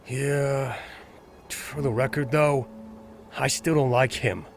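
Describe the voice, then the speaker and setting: Man acting a sullen, slow-talking loner. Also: medium pitch, steady tone, vocal fry, slight background noise. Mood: grudging. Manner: curt, grudging, sarcastic. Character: sullen, resentful young male zombie-apocalypse survivor, loner. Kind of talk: amateur audio drama acting.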